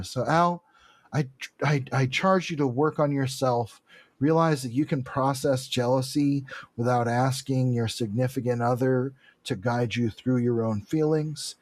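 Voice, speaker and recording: A man's voice: mid-range voice, dry recording. A man co-hosting a podcast, casually recapping comics in an irreverent, profane, jokey way. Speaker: podcast co-host.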